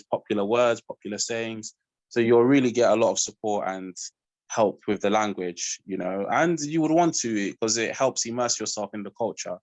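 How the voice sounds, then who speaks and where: Student guy on a webinar, chill and rambly, lowish voice, dry sound. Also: no room sound, poor audio. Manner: relaxed, rambling, many fillers. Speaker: student guest. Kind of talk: casual chat.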